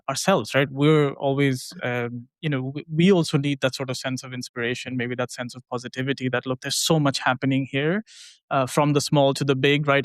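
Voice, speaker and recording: A man's voice: mid-range voice, no echo. Young guy producer chatting on a podcast, lots of ums and right?, dry close mic. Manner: conversational, many fillers and tag questions. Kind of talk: casual podcast conversation.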